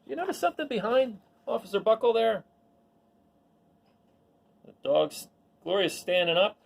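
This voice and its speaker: A man reading a children's picture book aloud expressively, pausing for asides and questions to his listeners. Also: high voice, very animated, creaky. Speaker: read-aloud storyteller.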